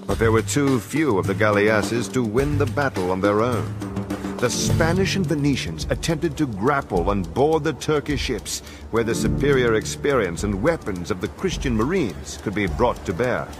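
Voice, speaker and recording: A man giving a dramatic scripted historical narration for a game cutscene, in a measured, formal storytelling manner. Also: medium pitch, expressive. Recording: music behind the narration. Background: background music.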